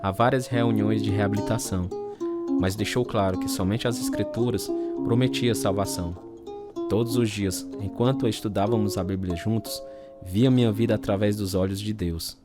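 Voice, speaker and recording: A man's voice: on the low side, fairly even intonation, normal speed, over loud music, close to an everyday voice. A man with a Brazilian accent playing a troubled, earnest reformed alcoholic, narrating and acting in a radio drama. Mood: reflective. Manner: earnest, confessional. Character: reformed alcoholic ex-prisoner telling his story, troubled but earnest. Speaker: narrator and lead character.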